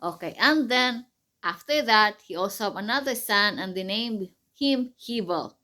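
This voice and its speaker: Slow-speaking woman teaching a child. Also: medium pitch, lively intonation, pushed and bright. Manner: patient, questioning, simple repetitive phrasing. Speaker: mother teaching her child. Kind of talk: Bible story lesson with quiz questions for a child.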